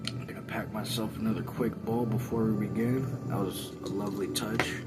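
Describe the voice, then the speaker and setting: A man talking casually as the host of a read-along, pausing to comment as he goes. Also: fairly low pitch, steady tone, over loud music. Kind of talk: casual chat and amateur read-aloud.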